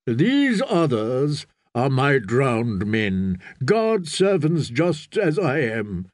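A man's voice: mid-range voice, very animated, drawn-out words, no room sound, studio quality. A man voicing a stern, fervent sea-god priest in a fantasy audiobook, solemn and commanding. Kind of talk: dramatic fantasy audiobook character voice.

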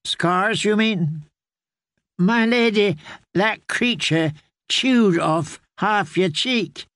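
Man reading old ragged healer, gentle, courteous, higher voice, unhurried. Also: no room sound, studio quality, full character voice. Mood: grave. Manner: courteous, soothing.

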